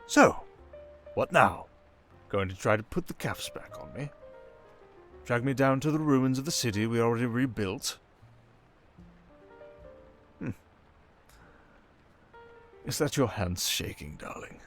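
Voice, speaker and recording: A man playing a suave, manipulative criminal mastermind in an intimate ASMR roleplay, with a coaxing, condescending, theatrical delivery full of drawn-out pauses. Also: medium pitch, lively intonation, fairly bright tone. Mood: probing, smug. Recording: music behind the voice. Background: music.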